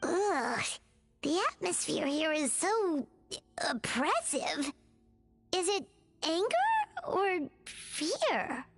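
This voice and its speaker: Game role: excitable, anxious pixie companion, childlike, very high and highly animated. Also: female voice, drawn-out words, exaggerated voice. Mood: worried. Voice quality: squeaky, childlike. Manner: refers to herself in the third person, exclamatory. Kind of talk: video game voice acting. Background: background music.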